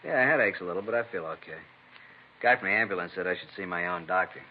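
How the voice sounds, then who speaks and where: A low-voiced, quick, plain-spoken baker. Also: male voice. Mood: matter-of-fact. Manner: casual, folksy, matter-of-fact. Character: plain-spoken male bakery shop owner, unfussy robbery victim. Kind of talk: 1950s radio drama dialogue. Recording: dull, noisy old radio recording.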